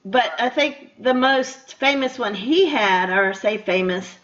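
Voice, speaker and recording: High-voiced woman hosting a radio interview. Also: slow pace, fairly bright tone. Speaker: radio show host, interviewer. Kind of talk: casual conversational interview. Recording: dull, lo-fi radio interview audio.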